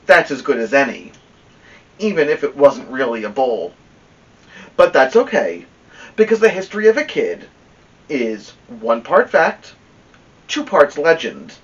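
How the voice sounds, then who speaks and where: Distant muffled recording, male storyteller, higher-pitched voice, expressive read-aloud, dramatic pauses, drawn-out emphasis. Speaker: story-time host reading a children's novel aloud. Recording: dull lo-fi sound, distant from mic.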